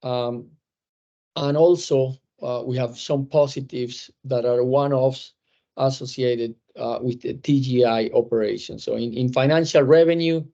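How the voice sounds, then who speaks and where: A man walks listeners through quarterly figures on a conference call, pausing often and restarting phrases. His voice sits mellow and dark. The audio is muffled and lo-fi. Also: mid-range voice. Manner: measured, hesitant with frequent fillers and restarts. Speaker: company executive presenting results. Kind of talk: earnings call presentation.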